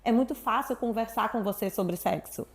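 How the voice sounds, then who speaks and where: Woman, fairly high voice, very talkative, expressive storyteller, casual interview guest. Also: normal speed. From Salvador, Brazil. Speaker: guest, sexuality professional.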